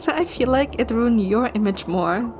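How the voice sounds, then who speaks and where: Woman acting a higher-voiced, spirited young heroine. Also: animated, slow pace, a little mellow, a little hiss or hum. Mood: playful. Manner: wry, playful, direct. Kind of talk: romance game dialogue acting. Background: music.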